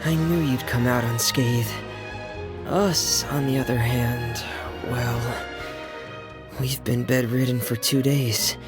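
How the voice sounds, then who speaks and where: A man playing a quiet, hesitant young man in a video game, speaking in an understated way. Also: mid-range voice, steady tone, slow pace, natural voice. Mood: subdued. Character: quiet, subdued young man recovering in bed. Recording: clear music behind the voice.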